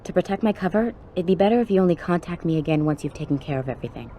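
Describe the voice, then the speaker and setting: An actress playing a tough, streetwise police officer, blunt and informal, in a video game cutscene. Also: female voice, fairly low pitch, expressive, racing, mellow, slight background noise, lightly acted. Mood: brisk, businesslike.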